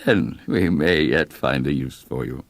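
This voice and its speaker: A man voicing a tall, gaunt, undertaker-like village mayor, coldly polite, with measured, formal speech and quiet menace. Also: a bass voice, dry recording, heavily acted.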